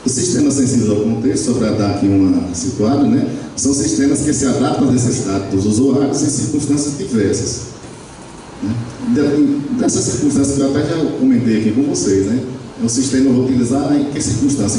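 A man's voice: medium pitch, dark tone, slight background noise. A middle-aged man giving a university lecture into a handheld mic, explaining step by step with frequent tag questions and fillers. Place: lecture room with projector. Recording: very echoey room, far from camera.

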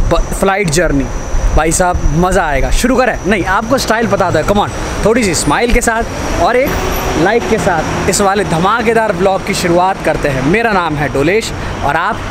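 A young man with a high-pitched voice chats enthusiastically while vlogging into a camera held at arm's length. He keeps up a casual travel-guide patter as he moves through busy public places. Loud street and terminal noise stays behind him the whole time. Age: young adult. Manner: chatty, enthusiastic, mixes English into Hindi. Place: streets, bus and airport terminal. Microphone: handheld camera at arm's length.

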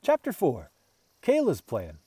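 A man reads a children's story aloud with expressive narration. His voice is fairly high and swings widely in pitch. The recording is close and dry, with very little room sound. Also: a little slow, husky, dry recording. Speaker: storybook reader.

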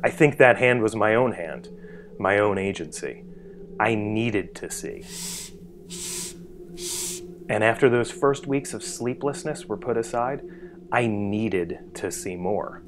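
Low-voiced man narrating a personal story. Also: lively intonation, slow, pauses often, background noise. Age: 40s. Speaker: writer narrating a personal essay.

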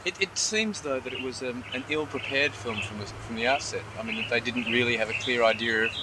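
A man conducting a conversational interview, asking probing questions in halting phrases. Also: mid-range voice. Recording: 1980s interview tape with clear background noise.

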